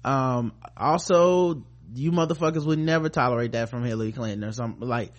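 An American man with a medium-pitched voice talks through the news as a podcast co-host. His delivery is casual and conversational, full of slang and profanity. He comes across as sardonic, commenting bluntly as he goes. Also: brisk.

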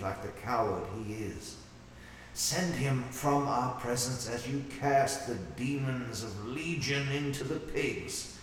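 A man's voice: mid-range voice, a bit bright. Man acting fervent camp preacher in an echoing hall. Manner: solemn, emphatic, declamatory. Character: male camp preacher, fervent, righteous and authoritative. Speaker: preacher leading prayer at a religious camp. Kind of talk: sermon and prayer in a horror audio drama. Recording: echoey hall, distant mic, faint noise.

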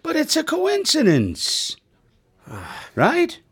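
A man's voice: very high voice, huge pitch swings, very slow, fairly bright tone, exaggerated voice. An actor, a man, playing a dismissive, slangy skeptic in a radio drama, scoffing and exasperated with his friend. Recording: music under the voice.

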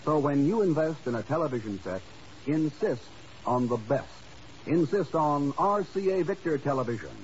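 A man's voice: fairly high pitch, animated, normal speed, slight background noise. 1950s male radio announcer, muffled recording. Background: music.

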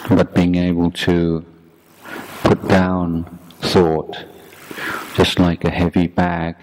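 A man guiding a meditation as a teacher, speaking in a measured, deliberate way in short phrases with long pauses. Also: low voice, monotone, a little mellow. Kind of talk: guided meditation talk. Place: youth retreat. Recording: clearly noisy background.

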